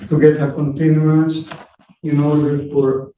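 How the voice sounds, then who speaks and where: Halting man, distant in echoey courtroom. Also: a little high, flat delivery. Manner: halting, slow, restarting phrases. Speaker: landlord, plaintiff. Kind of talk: courtroom testimony. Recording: very echoey room, distant, muffled, faint noise.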